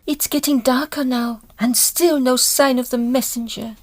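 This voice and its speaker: A woman's voice: sits high, steady tone, normal speed, lightly acted. An actress playing a genteel, anxious lady of an opera troupe in a period audio drama, speaking with refined formality. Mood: worried, wistful. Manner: refined, old-fashioned formal phrasing.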